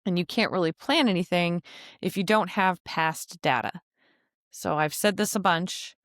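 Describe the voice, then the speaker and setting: A woman's voice: fairly low pitch, unhurried, a bit bright, no echo. A woman hosting a casual solo podcast, chatty and joking with frequent laughs, speaking close to the mic. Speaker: podcast host and travel agency owner.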